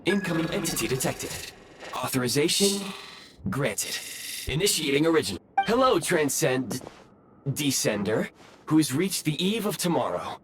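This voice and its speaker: A man's voice: on the high side, big pitch swings, noisy background. A man voicing an earnest, formal, newly awakened ancient robot guide in a video game, with precise, dutiful phrasing. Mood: formal, procedural. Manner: formal, precise phrasing with halting self-corrections. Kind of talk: scripted game voice acting. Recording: background music under the voice.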